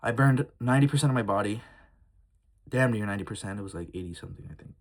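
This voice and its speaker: A man's voice: on the low side, lively intonation, quick pace. A man of twenty-one talking to his phone camera in a candid, rambling vlog, reflective and heartfelt, with frequent fillers. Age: early 20s. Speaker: vlogger. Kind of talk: casual confessional vlog.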